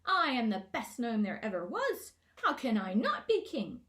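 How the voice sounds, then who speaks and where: A woman's voice: a little high, huge pitch swings, a little slow, a bit bright, heavily acted. Woman putting on a bellowing, bossy little gnome king. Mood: boastful, defiant. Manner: bellowing, imperious, self-important.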